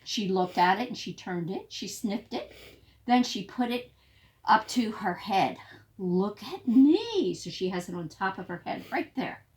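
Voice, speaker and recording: A woman reading a picture book aloud to young children as a teacher, expressive and pausing to explain things. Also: mid-range voice. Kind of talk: animated read-aloud storytelling.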